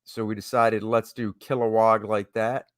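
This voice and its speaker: A man's voice: fairly low pitch, level tone, normal speed, no echo, studio quality. A man hosting an art livestream, chatting casually to his viewers in an easygoing, rambling way. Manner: easygoing, rambling, reads and answers chat. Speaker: comic artist hosting a livestream.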